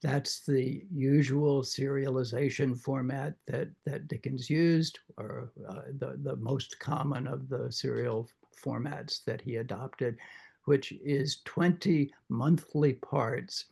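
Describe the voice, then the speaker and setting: An older man with a croaky voice after throat surgery, lecturing informally to a reading group and pausing often with many uhs and restarts. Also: mid-range voice, fairly even intonation, a little mellow. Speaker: literature professor leading a reading group.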